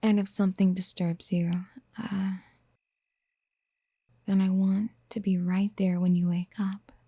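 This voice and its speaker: Casting: actress as obsessive motherly yandere for ASMR roleplay, very mellow and breathy, slow whispered coaxing, tender and possessive. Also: medium pitch, flat delivery, no echo, studio quality. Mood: tender, protective. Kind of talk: soft-spoken ASMR roleplay acting. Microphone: close mic.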